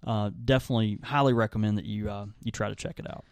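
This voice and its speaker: A man's voice: lowish voice, steady tone, fast, gravelly, smooth, no echo. A young man co-hosting a podcast, talking in an easygoing, rambling way with lots of fillers. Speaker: podcast co-host and tobacco shop worker. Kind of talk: casual chat.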